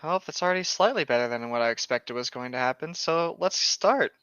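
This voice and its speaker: A man's voice: on the high side, expressive, fairly quick, pushed and bright, clean tone, no room sound, dull audio. A man in casual banter, reading aloud and reacting with joking, mock-exasperated sarcasm. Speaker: co-host reacting to online horror fiction.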